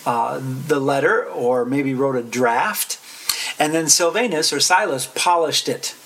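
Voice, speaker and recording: A man giving a Bible study lecture, talking deliberately with many "uh" fillers, repeating verse references and now and then clearing his throat. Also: mid-range voice, fairly bright tone. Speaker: preacher.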